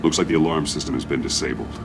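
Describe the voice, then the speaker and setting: A man playing a grim, brooding vigilante in a video game, gravelly-voiced, terse and stern. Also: a bass voice, fast, over music. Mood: grim, focused. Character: grim masked adult male vigilante hero, brooding and commanding.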